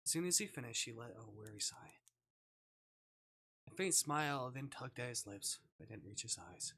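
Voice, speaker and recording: A man's voice: medium pitch, lively intonation, moderate pace, pushed and bright, husky. A man streaming a visual novel, reading narration aloud and thinking through choices hesitantly as he plays. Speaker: game streamer.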